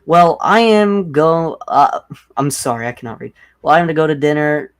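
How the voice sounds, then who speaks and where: A man's voice: lively intonation, moderate pace, clean tone. Rambling young man hosting a livestream. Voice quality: self-described deep voice. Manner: rambling, heavy use of "like". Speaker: livestream host. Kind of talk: casual livestream chat.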